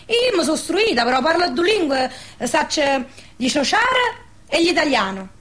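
An actress playing a proud, gossipy village spinster, speaking earthy southern Lazio dialect in a radio play. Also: female voice, high voice, big pitch swings, normal speed, fairly bright tone. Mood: proud, touchy. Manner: earthy, emphatic, colloquial. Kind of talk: dialect radio theatre dialogue.

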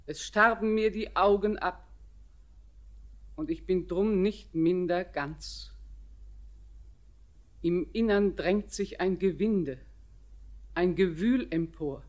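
A woman's voice: fairly low pitch, slow pace, pauses often, darkish tone. An actress playing an old, visionary, resigned grandmother in a literary radio play, declaiming solemnly and poetically. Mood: grave, remembering. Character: old visionary grandmother, resigned, mourning her dead, serene.

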